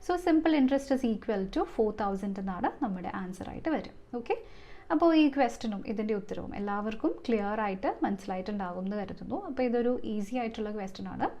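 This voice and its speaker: A woman's voice: mid-range voice, a little fast, mellow. A woman with a Malayali accent teaching step by step as an exam coach, often asking rhetorical questions and slipping into English terms. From Kerala, India. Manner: step-by-step, frequent rhetorical questions and check-in tags. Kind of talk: explanatory lesson, working through maths problems.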